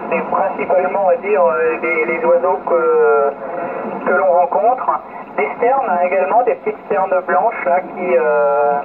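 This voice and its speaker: A man on an amateur radio expedition, explaining life on a remote island in a casual, hesitant way with many fillers and restarted words. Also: high voice. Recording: noisy, phone-like radio link.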